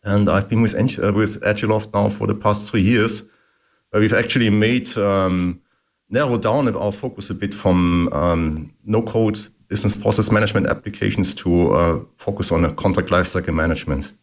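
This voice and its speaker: A middle-aged man with a German accent, answering interview questions in a thoughtful way with many pauses and fillers. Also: lowish voice. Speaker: guest, engineering executive.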